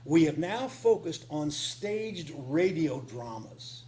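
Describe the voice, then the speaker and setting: A man's voice: on the high side, very animated, takes it slowly, a little mellow. A man giving an informal, off-the-cuff conference talk in a folksy way, repeating phrases for emphasis. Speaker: conference speaker, writer of radio dramas. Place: meeting room, live before a small group. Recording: live talk with clear hum and noise.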